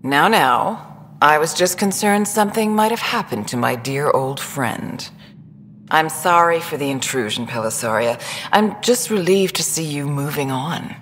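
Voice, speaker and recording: A woman's voice: sits low, animated, unhurried, a bit bright, in a large hall, slight background noise. A woman playing a haughty alien rival in a video game, condescending and needling with mock sweetness. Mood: falsely sweet. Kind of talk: video game dialogue acting.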